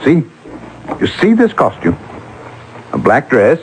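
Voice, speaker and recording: An actor playing an obsequious, excitable schemer in an old-time radio drama, fawning and hesitant. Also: male voice, mid-range voice, takes it slowly, slight background noise, low-quality recording. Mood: excited scheming. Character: obsequious, flustered male schemer covering up a hoax. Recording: vintage radio drama recording.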